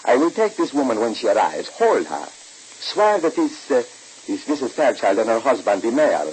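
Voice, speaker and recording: An actor playing a shady, formal sanitarium operator for hire in an old radio drama, speaking precisely with calculated pauses. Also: male voice, mid-range voice, very animated, darkish tone, lightly acted, rough recording. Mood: scheming, insistent. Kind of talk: 1940s radio drama acting. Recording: hissy old-time radio recording.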